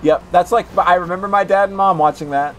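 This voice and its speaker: A man in his late thirties streaming a racing game with friends, chatting casually and getting loud and excited as he plays. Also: high voice, fast, a little hiss or hum. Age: 30s. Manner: excitable, yells and laughs at the game. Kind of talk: casual gaming chat.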